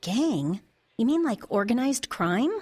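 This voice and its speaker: Woman playing a flustered, high-voiced amateur sleuth. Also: sing-song, slow pace, a little mellow, slightly rough, exaggerated voice. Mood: curious, resolved. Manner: hesitant, flustered, earnest. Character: flustered, earnest, suspicious divorced mother and amateur sleuth. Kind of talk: audio drama acting, natural dialogue.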